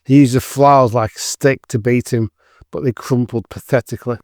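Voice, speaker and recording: Smooth male story narrator. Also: mid-range voice, steady tone, a little fast, darkish tone, no room sound, clean studio sound.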